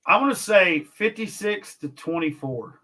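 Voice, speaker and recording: A man's voice: sits high, animated, unhurried, sharp tone. A man co-hosting a casual sports podcast, talking emphatically and hedging his own predictions. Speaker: podcast co-host.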